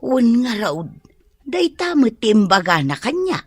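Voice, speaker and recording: A middle-aged, money-minded mother with an Ilocano accent. She talks slowly in a highish, lively voice, as in a radio comedy drama. The recording is very dry. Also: breathy edge, no room sound, heavily acted. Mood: surprised, probing.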